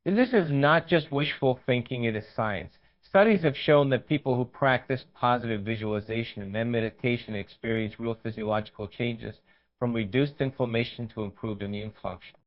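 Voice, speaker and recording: A man's voice: medium pitch, normal speed. Dull-sounding man narrating guided meditation.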